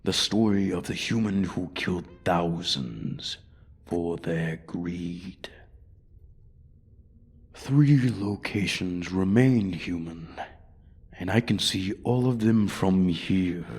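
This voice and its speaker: Casting: male actor as a menacing dragon for fantasy ASMR roleplay, very low husky voice, slow condescending taunts. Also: darkish tone, full character voice. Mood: hungry menacing. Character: menacing male dragon toying with human prey.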